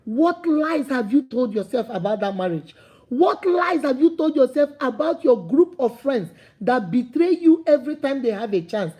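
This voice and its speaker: Nigerian lady preaching motivation, high voice kinda husky and soft, keeps repeating herself. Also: animated, normal speed. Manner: preachy, repetitive, rising to emphatic calls. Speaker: motivational speaker. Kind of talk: impassioned motivational talk to camera.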